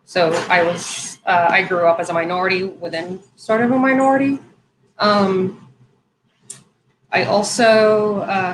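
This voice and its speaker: A woman in her thirties from Saudi Arabia talks in a low voice, chatting as a guest on a livestream. She fills her speech with "um"s and restarts sentences as she goes. The room sounds echoey, with faint ambient noise behind her. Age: 30s. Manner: conversational, many fillers and restarts. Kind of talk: casual livestream interview.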